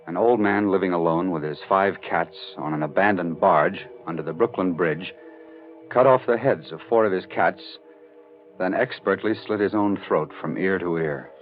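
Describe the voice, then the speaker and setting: Deep-voiced hard-boiled radio reporter. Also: male voice. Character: worldly male police-beat reporter telling his story. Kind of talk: 1940s radio noir first-person narration. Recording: muffled old radio recording, faint music.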